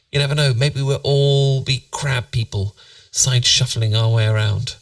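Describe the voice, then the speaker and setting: Soft, creaky British boyfriend played by a man. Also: mid-range voice, steady tone, unhurried, no echo, clean studio sound, distant mic, lightly acted. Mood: playful, musing. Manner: soft, intimate, soothing, musing, occasionally near whisper. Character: tender, playful boyfriend rambling philosophy at bedtime. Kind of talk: ASMR boyfriend roleplay, sleep aid.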